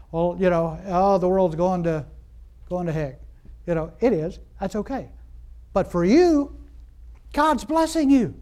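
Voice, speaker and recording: A man delivering a sermon in a rambling, chatty way, often restarting his sentences and stopping to ask his listeners questions. His voice sits high for a man, and he builds to excited, exclaiming stretches. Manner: rambling, conversational, frequent restarts and fillers. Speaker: pastor.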